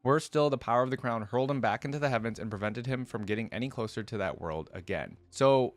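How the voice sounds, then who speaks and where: A man's voice: mid-range voice, fast, dry recording. A man hosting a reaction video, switching between casual, rambling commentary and reading text aloud. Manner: informal, rambling asides. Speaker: host of a game lore reaction channel.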